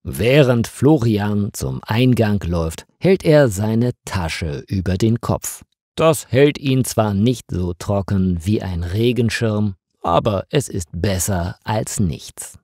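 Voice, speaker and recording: Slow, expressive male storyteller with deep voice. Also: dry recording, clean studio sound. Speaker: narrator of stories for language learners. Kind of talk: scripted story narration, slow and clearly articulated for learners.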